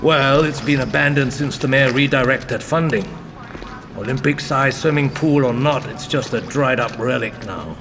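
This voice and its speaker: An actor playing a brusque, mysterious senior detective in an audio drama, with a commanding, cryptic and clipped way of talking. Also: male voice, mid-range voice, normal speed, natural voice. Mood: wry, brooding. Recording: music behind the dialogue.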